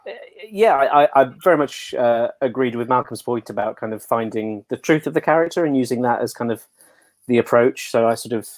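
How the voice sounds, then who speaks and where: A man's voice: mid-range voice, a little fast. A man chatting casually on a panel as a performer and designer, hesitant, wry and self-deprecating. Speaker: pantomime dame performer and costume designer.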